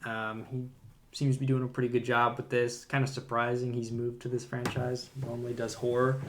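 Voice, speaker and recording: A man's voice: mid-range voice, completely flat delivery, fairly quick, a little hiss or hum. A man co-hosting a podcast, discussing films in casual conversation. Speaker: podcast co-host.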